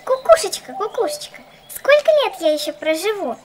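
A woman's voice: extremely high pitch, big pitch swings, brisk, very noisy. A woman voicing a sassy, skeptical little doll girl in a children's cartoon, with a sarcastic, exclamatory manner. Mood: wry, surprised.

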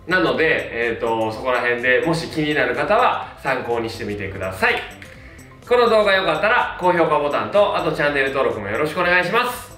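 A man in his thirties, a hairstylist, casually reviewing hair products with a relaxed, filler-heavy manner. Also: fairly high pitch, a little fast, distant mic. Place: small plain indoor studio. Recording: roomy sound, mic set back, faint music.

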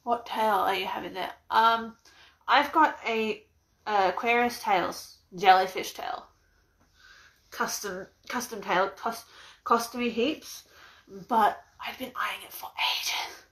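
A woman's voice: on the high side, takes it slowly, a bit bright, poor audio. Giggly young Australian woman. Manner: chatty, giggly, rambling with frequent laughs. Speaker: vlogger. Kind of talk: casual live-stream unboxing. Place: small cluttered bedroom, indoors. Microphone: phone camera propped on a mug.

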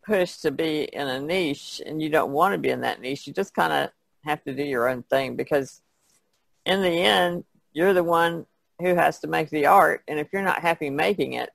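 A woman's voice: low voice, moderate pace, gravelly, no echo. A woman speaking plainly and matter-of-factly as an artist in a casual podcast discussion.

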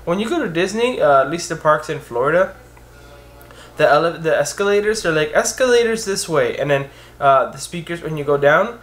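A young man with a fairly high voice talks straight to the camera in a casual vlog. He is earnest and animated, and his pitch climbs when he presses a point. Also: fairly quick. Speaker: vlogger on blindness. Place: indoors, a green-screen backdrop of store photos.

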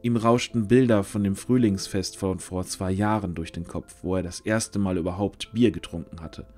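Slightly low-voiced male storyteller. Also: fairly even intonation, moderate pace, darkish tone, faint music behind. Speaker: narrator. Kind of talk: scripted fantasy audio drama narration.

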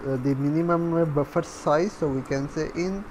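A man giving a step-by-step coding tutorial, halting as he thinks aloud while typing. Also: a little high, darkish tone. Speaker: programming tutorial instructor. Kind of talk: step-by-step coding screencast narration. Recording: faint background noise.

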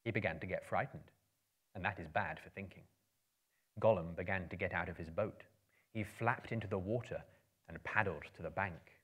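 A man in his thirties reading a story aloud dramatically, in a theatrical, expressive storyteller's manner. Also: low voice, moderate pace, breathy edge. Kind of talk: dramatic book reading to a live audience. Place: indoor room with bookshelves, small live audience.

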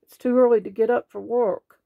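A woman's voice: fairly high pitch, fairly even intonation, moderate pace, vocal fry, no room sound, phone-quality sound, pitched above the performer's own voice. A woman voicing a big, friendly, sleepy, furry male monster in a storybook, murmuring and sighing. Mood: groggy.

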